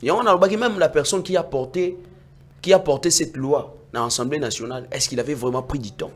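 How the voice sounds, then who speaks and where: A man arguing about politics in a heated debate, emphatic and sometimes nearly shouting. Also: mid-range voice, brisk. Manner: emphatic, rising to near-shouts when making points. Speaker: political commentator.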